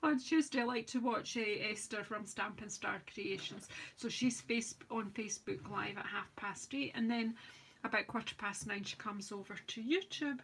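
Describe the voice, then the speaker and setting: Chatty woman, bright and croaky. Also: medium pitch, moderate pace, a little hiss or hum, rough recording. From United Kingdom. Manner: chatty, rambling, self-deprecating, talks while working. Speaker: craft demonstrator host. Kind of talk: live-stream craft demo chat.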